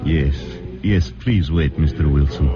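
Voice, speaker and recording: A man playing an anxious, devout immigrant father in an old radio drama, with a halting, stammering delivery. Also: very deep voice. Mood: grateful, moved. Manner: halting, stammering, repeats himself. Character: anxious, devout immigrant father searching for his lost girl. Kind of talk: 1940s radio drama acting. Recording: dull old radio recording with music.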